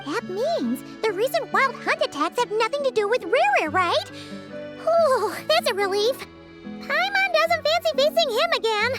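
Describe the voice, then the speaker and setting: A woman voicing a tiny, childlike, excitable fairy companion in a video game, with a squeaky voice and an inquisitive manner. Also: normal speed, over loud music. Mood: curious, then relieved. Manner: speaks of herself in third person, inquisitive. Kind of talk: animated video game character acting.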